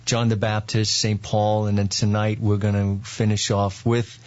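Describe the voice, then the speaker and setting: Low-voiced New York priest, halting. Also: male voice, flat delivery, lo-fi sound. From Long Island, New York. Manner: halting, many "um" and "uh" pauses. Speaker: priest, guest. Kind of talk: interview, relaxed storytelling.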